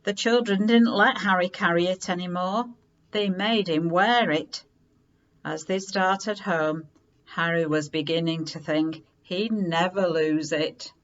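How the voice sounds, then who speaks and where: A woman reading a children's story aloud in an expressive, unhurried way with dramatic emphasis. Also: lowish voice, muffled recording.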